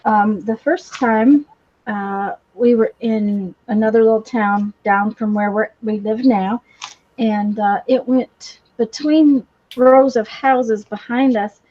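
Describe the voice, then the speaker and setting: A woman's voice: fairly high pitch, steady tone, takes it slowly. A woman chatting casually in a hesitant, rambling way. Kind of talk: casual chat. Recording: slightly dull, lo-fi sound.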